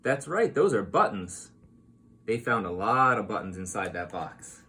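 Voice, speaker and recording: A man reading a picture book aloud to young children like a teacher, in an expressive, explanatory way. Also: on the low side, unhurried, a little hiss or hum.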